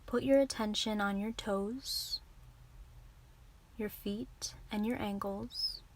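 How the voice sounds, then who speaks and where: Slow-paced woman guiding a meditation. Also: mid-range voice, steady tone. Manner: soothing, unhurried, gently instructing. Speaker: yoga and meditation teacher. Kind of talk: guided meditation instruction.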